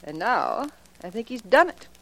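A woman's voice: fairly high pitch, very animated, moderate pace, fairly bright tone, full character voice, poor audio. An actress playing a cold, scornful wife in a 1940s radio crime drama, cutting and theatrical in her phrasing. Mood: bitter scorn.

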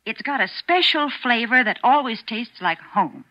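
A woman's voice: a little high, expressive, moderate pace. A woman doing folksy, chatty advertising banter in 1940s radio style. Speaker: commercial spokeswoman. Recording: muffled old radio recording.

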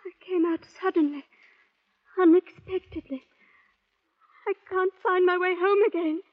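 A woman's voice: extremely high pitch, steady tone, measured pace. An actress playing a distraught, ghostly lost woman, plaintive and distracted, desperate to get home, in a 1950s radio drama. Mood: lost, distressed. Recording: dull vintage radio recording.

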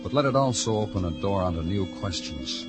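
Actor playing a terse noir detective. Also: male voice, lowish voice, animated, a little fast, music in the background, natural voice. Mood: brooding. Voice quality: clipped, terse, brooding. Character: hard-boiled male police detective narrator. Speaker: detective and narrator. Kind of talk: 1950s radio noir narration and acting.

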